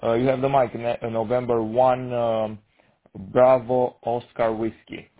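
A man's voice: medium pitch, little pitch movement, measured pace, slight background noise. Hesitant young Romanian man on radio. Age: teens. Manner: hesitant, searching for words, many fillers. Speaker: amateur radio operator. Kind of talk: casual radio chat. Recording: tinny radio transmission.

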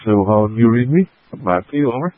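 A man's voice: medium pitch, animated, fast, no room sound. A man calling in on ham radio from his laptop, courteous and formal in his radio procedure. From Philippines. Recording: dry, tinny radio link.

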